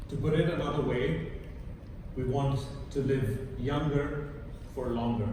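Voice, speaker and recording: A middle-aged man from Kenya gives a conference talk, stumbling over restarts and fillers. He is picked up from far off in a large hall with a long, ringing reverb. A steady background noise hums beneath his voice. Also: mid-range voice. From born in India, grew up in Kenya. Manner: halting, many restarts and fillers. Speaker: conference speaker. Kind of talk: prepared talk to an audience.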